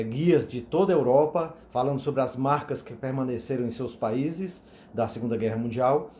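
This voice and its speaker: A man's voice: mid-range voice, mellow, slight background noise. Man, echoey lo-fi stream audio. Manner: chatty, lots of fillers and asides. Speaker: live stream host. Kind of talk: hosting a live video interview.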